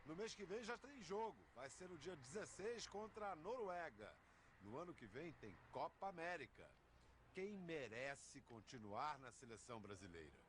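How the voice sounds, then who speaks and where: A man's voice: on the high side, big pitch swings, normal speed, fairly bright tone, slight background noise. A Brazilian man presenting television sports news as the anchor, in scripted questions and announcements.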